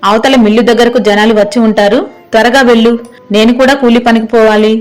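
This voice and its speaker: A woman's voice: a little high, little pitch movement, fast, soft background music, close to an everyday voice. A woman voicing a weary, practical village wife who scolds in a matter-of-fact way in an acted moral story. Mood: exasperated.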